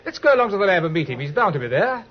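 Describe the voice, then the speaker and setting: A man's voice: sits high, big pitch swings, very fast, a little hiss or hum. A British actor playing a cheerful, chatty young Victorian medical man in a 1950s radio drama. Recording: muffled 1950s radio recording.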